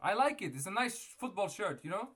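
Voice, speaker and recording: A man's voice: high voice, big pitch swings, a little fast, fairly bright tone. A man hosting a random video chat, talking casually and playing confused and innocent. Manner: playful, feigning innocent confusion. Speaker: video chat prankster host. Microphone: webcam or phone mic on video chat.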